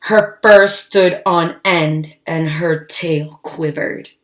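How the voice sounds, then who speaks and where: A woman reading a storybook aloud to children, expressive and emphatic, adding her own asides between the lines. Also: low voice, extremely slow. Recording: echoey, roomy sound.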